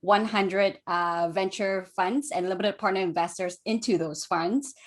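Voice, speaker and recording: A woman's voice: mid-range voice, steady tone, measured pace. Fluent upbeat woman investor on a panel. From Malaysia. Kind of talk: panel introduction.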